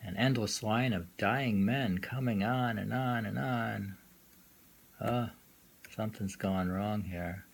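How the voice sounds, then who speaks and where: A man reading poems aloud informally and musing on them in a halting way, often repeating lines. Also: on the low side, vocal fry, breathy edge. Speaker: poetry reader and commentator. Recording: noisy amateur recording.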